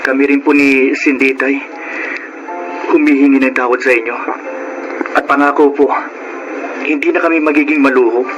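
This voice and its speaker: A man's voice: medium pitch, fairly even intonation, normal speed, long pauses, lo-fi sound, over music, close to an everyday voice. A man playing a polite, earnest, repentant young son in a Filipino radio drama. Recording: noisy radio drama recording.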